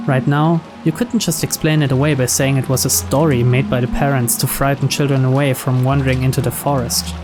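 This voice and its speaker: A man reading scripted first-person narration from a mystery visual novel. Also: a little high. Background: music and rain.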